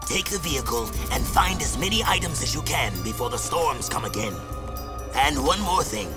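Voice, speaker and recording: An actor playing a stern, commanding desert warlord in a video game, with an authoritative, measured manner. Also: male voice, on the high side, lively intonation, lightly acted. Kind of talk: animated video game acting. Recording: music behind.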